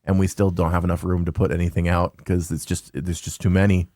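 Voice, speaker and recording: Laid-back male host, slangy chat; lowish voice, dry close sound. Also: level tone, fast, a little mellow, dry recording. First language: English. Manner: laid-back, says dude and man a lot. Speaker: podcast host, game store owner. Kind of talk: casual interview chat.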